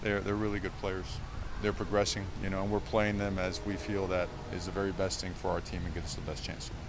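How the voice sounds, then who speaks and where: A man's voice: low voice, level tone, fast talker, background noise. A man coaching football, answering reporters in a press conference, emphatic and full of fillers and repeated words. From Cuba.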